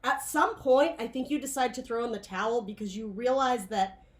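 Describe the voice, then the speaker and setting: A woman's voice: a little high, normal speed. A woman giving a casual tarot reading, her throat dry and her voice going, rambling and searching for words. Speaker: tarot reader.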